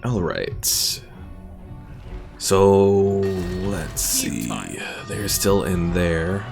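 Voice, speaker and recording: A man's voice: low-pitched, very slow, vocal fry, over music. A man giving casual live commentary as a game streamer, chatting and thinking aloud as he explains mechanics.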